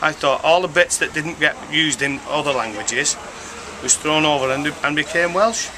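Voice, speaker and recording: A man talks in an offhand, speculative way. His voice sits a little high for a man. Clear street traffic is heard behind him. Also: moderate pace. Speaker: interviewee. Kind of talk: casual opinion.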